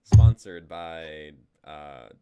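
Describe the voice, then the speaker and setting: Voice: low voice, extremely slow, muffled recording, heavy background noise. A young adult hosting a live stream, chatting casually and moving the group along.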